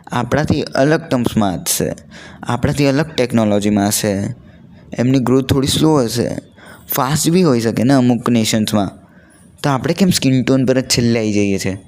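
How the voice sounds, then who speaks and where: A man's voice: mid-range voice, darkish tone. Young man chatting earnestly. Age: young adult. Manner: conversational, earnest storytelling. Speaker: vlogger. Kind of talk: casual chat to camera, mixing in English words.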